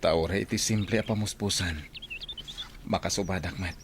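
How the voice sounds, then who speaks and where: A man's voice: sits low, fairly even intonation, normal speed, noisy background, close to an everyday voice. A man with an Ilocano accent playing an earnest, devoted young man in a radio drama. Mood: tender, grateful. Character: earnest young man, devoted son in love with his friend. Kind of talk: Filipino radio drama acting.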